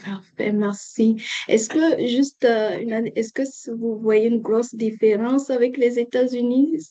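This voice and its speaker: A woman's voice: fairly high pitch, fairly even intonation, unhurried, warm tone. A woman interviewing a client for an immigration agency, polite, with fillers. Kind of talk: casual interview. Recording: muffled lo-fi recording.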